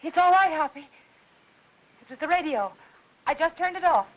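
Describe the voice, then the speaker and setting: An actress playing a frightened, desperate housewife in old-time radio noir style, anxious and confiding. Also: female voice, sits high, lively intonation, normal speed, a little hiss or hum, rough recording. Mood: panicked. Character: frightened, desperate housewife hiding her husband's death. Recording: old radio drama with faint music.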